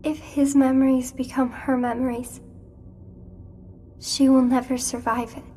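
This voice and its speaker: An actress playing a gentle, troubled young witch girl in a video game drama, speaking in an anxious, hesitant way. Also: sits high, flat delivery, measured pace, faint music behind. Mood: worried.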